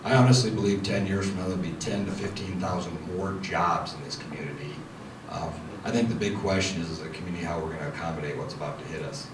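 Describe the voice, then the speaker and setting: A man's voice: sits low, fast. A man speaking as a panelist at a meeting, earnest and thick with fillers. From United States. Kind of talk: panel introduction. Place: meeting room. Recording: echoey room mic from far off, noisy.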